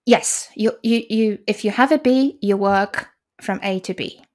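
A woman's voice: medium pitch, a little slow, darkish tone. Guest interpreter, polish native speaker in her forties, explains things carefully and restarts a lot, video call mic. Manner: explanatory, frequent restarts and fillers, occasional laughs. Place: small room indoors. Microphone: video call, desk mic.

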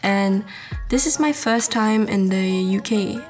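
A young woman from Singapore, a university student, chatting casually as she gives a walking tour of her student flat, filmed on a handheld camera at arm's length. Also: mid-range voice, measured pace, soft background music. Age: young adult. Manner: chatty, explains as she walks around pointing things out. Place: student flat kitchen and bedroom, indoors. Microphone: handheld wide-angle camera at arm's length.

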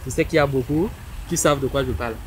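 A man's voice: medium pitch, fast talker, a little mellow, a little hiss or hum, rough recording. A young man speaking as a dating coach, giving a promotional talk outdoors. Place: outdoors on a city street. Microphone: clip-on lapel mic.

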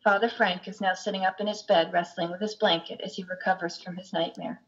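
A woman's voice: fairly low pitch, fairly even intonation, brisk, a bit bright. A middle-aged woman reading stage directions as narrator of a play, recorded over a video call with muffled sound. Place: room at home, indoors.

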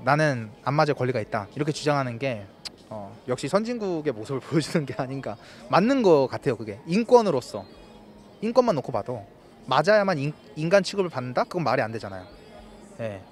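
A man's voice: medium pitch, very animated, fairly quick. A young man giving a wry, opinionated answer in a street interview outdoors.

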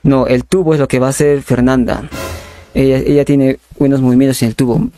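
A young man making a prank phone call as a polite, insistent recruiter, halting and repeating his words. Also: mid-range voice, level tone, warm tone, soft background music. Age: young adult. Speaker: prank caller. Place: small bedroom studio indoors. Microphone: condenser microphone on a boom arm, close.

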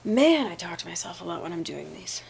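Young woman, stuffy cold voice, gravelly fry, laid-back vlog chat, camera at arm's length, living room sofa. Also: lowish voice, very animated, fairly quick, a little hiss or hum. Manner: chatty and laid-back, trails off quietly at the ends of sentences. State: stuffy nose from a cold, tired. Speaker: vlogger. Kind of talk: casual vlog answering tag questions. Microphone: webcam or handheld camera at arm's length.

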